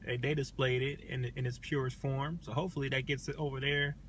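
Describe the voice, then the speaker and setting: A man's voice: mid-range voice, level tone, a little fast, a bit bright, slight background noise. A man chatting casually as a livestream guest, telling anecdotes and giving opinions in an informal, rephrasing way.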